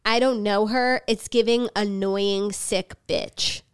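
A woman's voice: mid-range voice, steady tone, takes it slowly, smooth. A young woman co-hosting a podcast while sick, with a nasal voice and a dry, sarcastic manner in casual chat. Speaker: podcast co-host.

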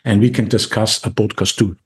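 A man's voice: on the low side, fairly even intonation, a little fast. A man with an Austrian accent, a podcast guest talking eagerly in a casual interview, with many restarts and fillers.

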